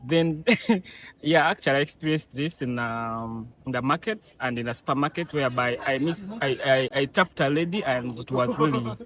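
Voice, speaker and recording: A man from eastern Uganda, a student, talking in a hesitant, halting way with many fillers. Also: mid-range voice, a bit bright, soft background music. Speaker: student interviewee. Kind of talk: casual on-camera answers.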